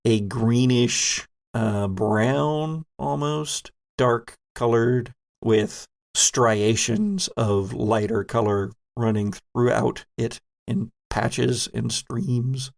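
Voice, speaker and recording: A man narrating a tabletop role-playing game as game master, in a measured, descriptive way. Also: medium pitch, vocal fry.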